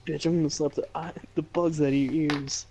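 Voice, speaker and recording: A man's voice: on the high side, level tone, brisk. A man chatting casually as a player in a tabletop game, joking in a blunt, sweary way. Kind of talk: casual chat. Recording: faint background noise.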